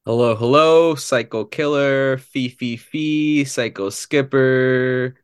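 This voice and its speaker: A man's voice: mid-range voice, takes it slowly, clear, resonant tone, no echo, clean studio sound. A man co-hosting a podcast, playful and jokey in casual chat. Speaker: podcast co-host.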